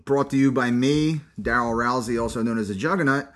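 A man hosting a podcast in casual banter, loud and boisterous, teasing his guest and swearing freely. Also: medium pitch, brisk. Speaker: podcast host.

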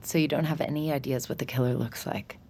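A guarded, stubborn young American woman in a naturalistic audio drama. Her voice sits very low and crackles with fry. She speaks hesitantly, as if questioning herself, over audible background ambience. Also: fairly even intonation, fast, mellow. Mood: subdued.

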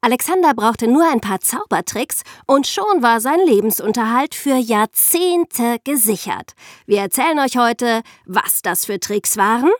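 A woman's voice: fairly high pitch, expressive, a little slow, dry recording, studio quality. A woman hosting a podcast, telling and explaining scripted stories in a chatty way for young listeners. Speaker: podcast host and narrator.

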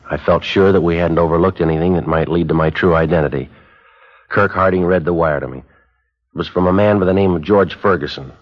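An actor playing an undercover police detective in a 1950s radio drama, speaking in a clipped, flat, matter-of-fact way. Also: male voice, low voice, lightly acted. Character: undercover police detective posing as buyer, terse. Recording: dull lo-fi old radio broadcast.

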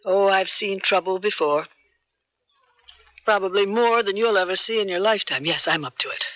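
An actress playing a poised, determined government agent in a 1970s radio drama, cool and assertive. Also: female voice, medium pitch, moderate pace, dry recording, natural voice. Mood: firm, confident. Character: poised, determined female American government agent, persuasive and secretive. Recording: dull 1970s radio broadcast recording.

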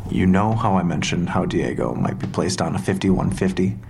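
A male police interrogator in an audio drama, with a low, gravelly voice. He is calm and courteous but relentless, pressing in a measured, matter-of-fact way over faint music. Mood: clinical, insistent. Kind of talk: full-cast audio drama acting.

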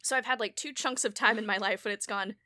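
A woman's voice: medium pitch, fast talker, pushed and bright, no echo, clean studio sound. A woman hosting a podcast, talking casually in a chatty way and often breaking into laughter. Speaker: podcast host and show creator.

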